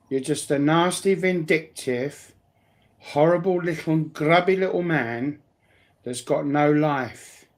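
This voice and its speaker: A blunt, sweary man chatting casually as a guest on a livestream. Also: a little high.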